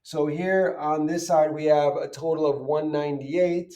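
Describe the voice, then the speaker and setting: A man's voice: a little high, fairly even intonation, unhurried, dark tone, far from the mic, highs cut off. A man teaching in an informal, step-by-step way, posing questions and answering them himself as he explains. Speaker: chemistry teacher. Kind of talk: informal step-by-step lesson, worked examples.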